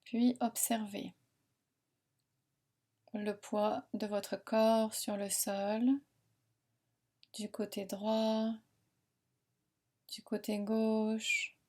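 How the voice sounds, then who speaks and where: A woman guiding a meditation in a soothing, unhurried, instructing manner with long pauses. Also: mid-range voice, little pitch movement, a little mellow. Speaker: relaxation and yoga nidra guide. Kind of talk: guided meditation narration.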